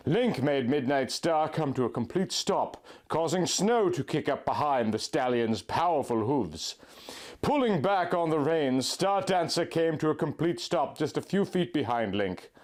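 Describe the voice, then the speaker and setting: Male narrator reading fan fiction, deadpan and earnest, on the higher side, with a lively, swooping pitch. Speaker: comic reader of fan fiction. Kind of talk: mock-dramatic reading aloud.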